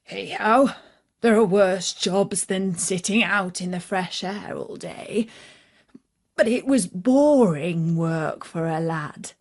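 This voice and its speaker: A British woman narrating a scripted children's story in a measured, expressive storytelling manner. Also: lowish voice.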